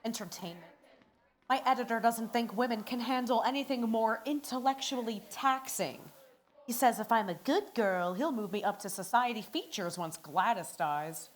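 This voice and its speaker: A woman's voice: fairly high pitch, normal speed, slight background noise, natural voice. An actress in a radio play playing a wisecracking woman newspaper reporter, slangy and sardonic, in an old-time style. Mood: wry, chatty.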